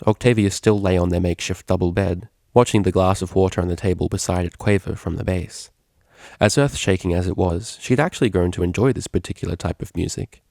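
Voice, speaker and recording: A man reading a story aloud as scripted, expressive narration. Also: sits low, creaky, no room sound, clean studio sound. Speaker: fan fiction reader.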